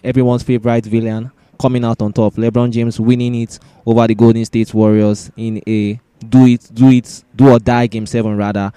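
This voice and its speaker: A man's voice: on the low side, mellow, clear, resonant tone, no room sound. A man hosting a casual sports discussion podcast, often repeating words and phrases for emphasis.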